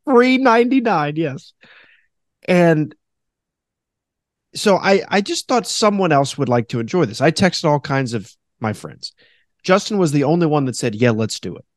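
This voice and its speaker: A man with a deep voice hosting a casual podcast, animated and quick to mock radio announcers in a high voice. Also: no room sound, studio quality. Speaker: podcast host; former radio host.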